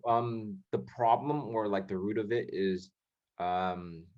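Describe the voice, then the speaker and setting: A man's voice: on the low side, steady tone, slow pace, a little mellow, muffled recording. A young man hosting a casual podcast, rambling with many fillers and restarts. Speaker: podcast host.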